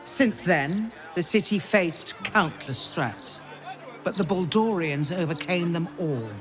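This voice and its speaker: A woman playing a stern, loyal noblewoman counsellor in a fantasy video game, speaking in a solemn, formal, measured way. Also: lowish voice, animated, a crowd in the background. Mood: grave, earnest. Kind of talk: dramatic video game cutscene acting. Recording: music behind the voice.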